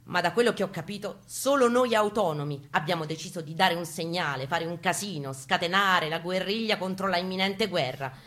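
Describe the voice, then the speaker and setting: A woman's voice: fairly low pitch, brisk, few pauses. A woman giving a theatrical, colloquial and emphatic first-person reading of a story. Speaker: reader at a spoken-word reading. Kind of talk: dramatic first-person reading of a narrative with guitar accompaniment. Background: acoustic guitar playing softly.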